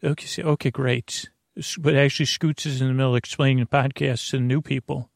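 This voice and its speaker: Gravelly, rambling man hosting a sleep podcast. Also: medium pitch, fairly even intonation, no room sound, clean studio sound. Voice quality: gravelly, creaky voice. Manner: halting, stammering, trails off and loses his thread. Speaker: host of a bedtime sleep podcast. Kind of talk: rambling, meandering monologue to lull listeners to sleep.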